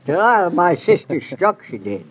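An actor playing a talkative old farmer with a drawling rustic dialect, in a 1940s radio play. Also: male voice, sits high, sing-song, slow, a little hiss or hum, exaggerated voice. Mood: boastful. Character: folksy old New England farmer, boastful and talkative. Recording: muffled old radio broadcast.

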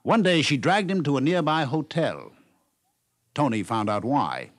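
A man's voice: mid-range voice, very animated, a little slow, no echo, studio quality. An American man narrating a scripted 1950s radio story in a wry, polished way.

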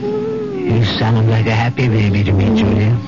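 A man playing a calm, authoritative hypnotist doctor in a 1970s radio drama, speaking in a deliberate, soothing, coaxing way. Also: fairly low pitch. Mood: slow, coaxing. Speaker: actor in a radio mystery drama. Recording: muffled vintage radio broadcast. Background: dramatic background music.

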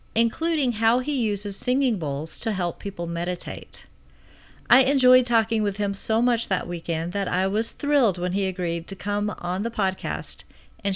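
A woman's voice: medium pitch, clear, resonant tone. A woman hosting a podcast, reading an upbeat intro and asking friendly interview questions. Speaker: podcast host.

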